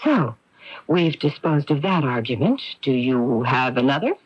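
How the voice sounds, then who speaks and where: An actress playing a cool, brisk, self-possessed middle-aged sister in a radio mystery drama, with a crisp, controlled, probing delivery. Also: female voice, rumbling low, sing-song, heavily acted. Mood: coldly menacing. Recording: dull lo-fi old radio tape.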